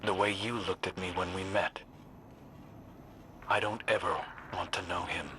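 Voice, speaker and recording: An actor playing a reserved, earnest young outcast warrior in a video game, speaking in a measured, reflective and confiding way. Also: male voice, deep voice, steady tone, noisy background. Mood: pained, resolute. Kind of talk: cinematic video game dialogue.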